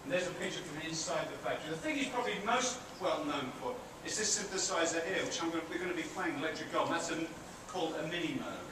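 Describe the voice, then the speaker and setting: A man's voice: fairly high pitch, animated, quick pace, hardly any pauses. A middle-aged British man with a British accent, giving a chatty university lecture and asking the audience questions. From Britain. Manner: chatty, asks the audience questions, self-deprecating asides. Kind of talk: public lecture to students. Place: large theatre hall with an audience. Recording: very echoey hall, far-off and noisy.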